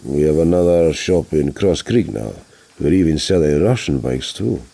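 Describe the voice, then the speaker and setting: A deep, roughened voice for an older Greek mechanic in a novel, acted out by a male narrator. He has a Greek accent and speaks like a relaxed, friendly host to an old pal. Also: moderate pace, exaggerated voice. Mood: contented. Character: genial, relaxed Greek immigrant mechanic, silver-haired older man.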